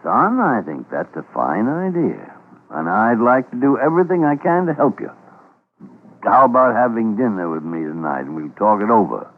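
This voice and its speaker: An American actor playing a gruff, fatherly older senior doctor in a classic radio drama, speaking in a measured, emphatic way. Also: fairly low pitch, big pitch swings. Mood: sombre, kindly. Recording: muffled, hissy old radio recording.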